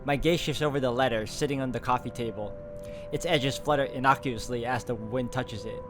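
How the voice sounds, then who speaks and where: A man reading a visual novel aloud as dramatic, brooding first-person narration, phrasing it deliberately. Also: mid-range voice. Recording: background guitar music over the voice.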